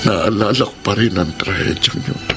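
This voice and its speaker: Man acting low-voiced, grieving old father. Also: animated, moderate pace, loud music behind the voice, natural voice. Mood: heavy-hearted grief. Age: older. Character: grieving, forgiving old father, gentle and weary. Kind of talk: dramatic Tagalog audio story acting.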